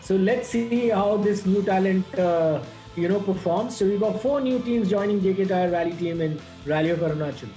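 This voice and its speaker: A man's voice: high voice, normal speed, few pauses, dark tone. A man, a motorsport executive, speaking thoughtfully with "uh, you know" fillers. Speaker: motorsport head interviewee. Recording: echoey, with music.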